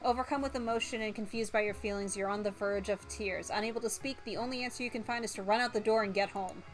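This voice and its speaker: A woman's voice: medium pitch, fairly even intonation, fast talker, low-quality recording. A woman livestreamer reading a visual novel aloud in a theatrical, giggly, playful way. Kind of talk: playful game streaming, reading story narration aloud. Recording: music behind the voice. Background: music.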